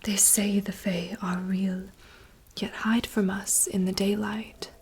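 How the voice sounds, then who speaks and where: A woman voicing a wistful young princess in a soft, slow, poetic whisper. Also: mid-range voice, flat delivery, breathy edge, exaggerated voice. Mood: yearning. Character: wistful young princess longing for wild woods. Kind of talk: whispered monologue reading.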